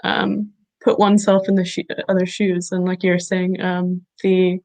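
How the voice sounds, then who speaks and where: Woman host, flat monotone delivery, lots of um and uh, keeps restarting her sentences. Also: lowish voice. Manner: halting, many fillers and restarts. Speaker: interview host. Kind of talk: conversational interview.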